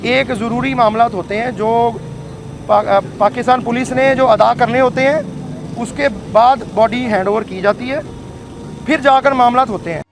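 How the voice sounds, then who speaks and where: Very high-pitched Pakistani man, insistent. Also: fairly even intonation, moderate pace. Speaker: relative speaking to media. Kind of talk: unscripted statement to reporters.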